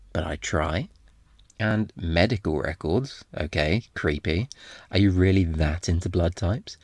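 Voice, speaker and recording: Man playing a cocky flirtatious thief, low-voiced. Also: no echo, studio quality, exaggerated voice. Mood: wry, teasing, then flirty, mock-hurt. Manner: teasing, theatrical, sarcastic, swooping into mock quotes. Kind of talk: ASMR roleplay acting.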